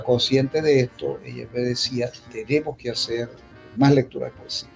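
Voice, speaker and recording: Venezuelan male, creaky middle register, unscripted festival speaker, halting and emphatic, light music behind. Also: medium pitch, darkish tone. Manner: halting, repetitive, with emphatic restatements. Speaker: poet speaking at a poetry festival. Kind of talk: unscripted public talk, reminiscing.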